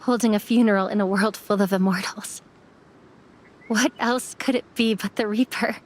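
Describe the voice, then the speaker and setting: A woman's voice: medium pitch, fairly even intonation, normal speed, lightly acted. A woman playing a young immortal apprentice mortician in an anime game, earnest and subdued. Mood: resolute.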